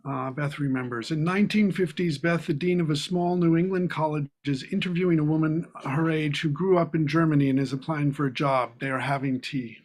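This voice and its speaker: Guy hosting a play reading, slightly high voice, halting informal intros and stage directions. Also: a little mellow.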